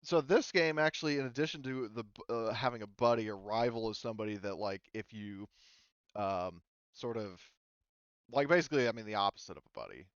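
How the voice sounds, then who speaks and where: A man acting as game master, explaining tabletop game rules to a group in a casual, halting, informal way. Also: on the low side, expressive, clear, resonant tone, dry recording, dull audio.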